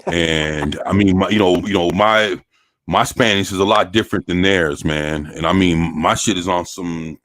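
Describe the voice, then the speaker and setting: An American man talking casually in streetwise, slangy speech with swearing and halting, repeated phrases. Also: deep voice, fairly bright tone. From United States. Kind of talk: casual chat.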